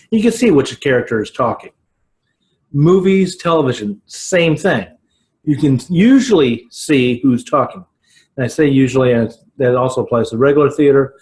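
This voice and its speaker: An American man in his forties giving an informal instructional talk to camera at home, deliberate and emphatic, with halting pauses. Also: mid-range voice, a little mellow. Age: 40s. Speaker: video podcast host, writing teacher. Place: small living room at home, indoors.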